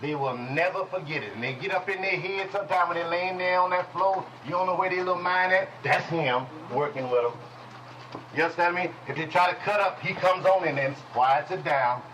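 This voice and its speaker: Man, preaching teacher, fairly high voice, faint hiss. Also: brisk. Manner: folksy call-and-response preaching, drops to low murmurs. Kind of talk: preaching Bible lesson. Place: congregation hall.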